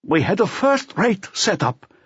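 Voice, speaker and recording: A man voicing a hoarse, bitter, drunken swindler coming apart with nerves in an audiobook. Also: high voice, very animated, unhurried, fairly bright tone, no echo, lo-fi sound, pitched above the performer's own voice, full character voice. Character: drunken, bitter, nervous male Latvian swindler, unravelling.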